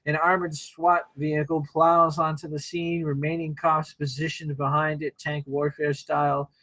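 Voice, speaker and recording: Guy reading script action at a table read, bit high pitched, informal, tosses in wry comments. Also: level tone, normal speed, pushed and bright, poor audio. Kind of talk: reading screenplay action lines aloud.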